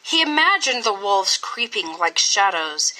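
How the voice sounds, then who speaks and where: A woman reading a children's story aloud in an expressive, scripted style with dramatic, deliberate phrasing. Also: mid-range voice, low-quality recording. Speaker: storybook reader.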